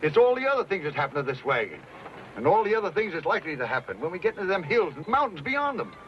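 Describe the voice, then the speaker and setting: A man's voice: sits high, very animated, fast talker, slight background noise. An actor playing a stern, grumbling wagon train boss in an American Western, blunt and folksy in his talk. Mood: worried. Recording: dull old recording, faint music behind.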